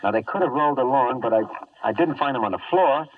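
An American man acting a wisecracking, streetwise adventurer who narrates his escapade in slangy deadpan in a 1950s radio drama. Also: mid-range voice, fast talker, no echo, distant mic, natural voice. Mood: businesslike, sardonic. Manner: wry, slangy, hard-boiled deadpan. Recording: muffled vintage 1950s radio recording.

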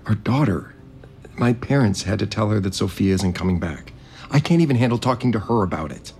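A man's voice: fairly low pitch, lively intonation, fairly quick, vocal fry, darkish tone. An actor playing a grieving, overwhelmed resort heir in an audio drama, hesitant and apologetic, placating whoever he talks to. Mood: strained, mournful. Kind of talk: naturalistic audio drama acting.

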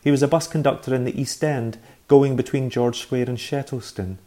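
A man's voice: mid-range voice, steady tone, moderate pace, mellow, pitched above the performer's own voice, natural voice. A man voicing a timid young boy who speaks with anxious, exaggerated politeness. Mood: nervously polite. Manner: overly polite, nervous.

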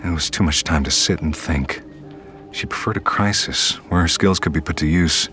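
A man's voice: a bass voice, moderate pace, sharp tone, slight background noise. A man narrating a scripted drama as storyteller. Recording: clear music under the voice.

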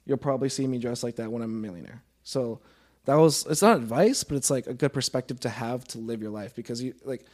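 A young man in his early twenties chats as a guest on a podcast. His voice sits at an average male pitch, and he talks in an earnest, informal way with casual swearing. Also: quick pace, a little mellow.

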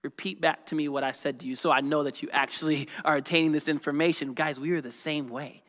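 A man in his thirties preaching a lively, conversational sermon, often asking the congregation questions. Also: on the high side, fast talker. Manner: energetic, conversational, asks the crowd questions. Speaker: pastor. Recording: long reverb of a large hall.

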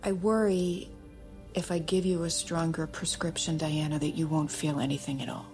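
A woman acts out a psychiatrist in an audio drama. Her voice is low and measured, probing with careful concern. Faint music runs underneath. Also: fairly even intonation, darkish tone, natural voice. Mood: gently concerned. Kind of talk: naturalistic scripted audio drama acting.

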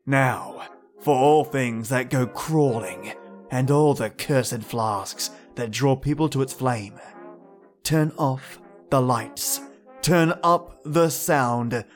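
An Australian man presents as a podcast host with theatrical storyteller flair. He speaks slowly in a slightly high voice, with faint music underneath. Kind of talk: dramatic scripted introduction to old-time radio tales.

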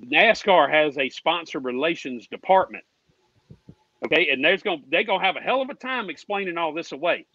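A man's voice: a little high, expressive, brisk, sharp tone, dry recording, lo-fi sound. An American man, a guest on a racing fan show, ranting in a combative, indignant, folksy way with plenty of swearing. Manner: folksy, with "ain't" and "y'all". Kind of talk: heated ranting.

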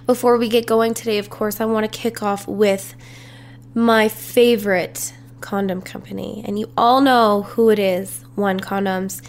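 A woman's voice: mid-range voice, little pitch movement, unhurried. Congested chatty woman podcaster. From Canada. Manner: chatty, confiding, storytelling. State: congested, stuffy nose. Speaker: podcast host. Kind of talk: casual solo podcast chat.

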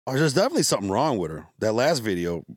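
A man's voice: medium pitch, very fast, no echo, studio quality. A man joking crudely in a casual comedy podcast chat, stammering as he gets going. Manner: crude, stammering, very animated joker. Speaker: podcast co-host.

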